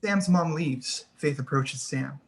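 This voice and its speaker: A woman's voice: sits low, slow. A woman reading screenplay stage directions as the narrator of a table read.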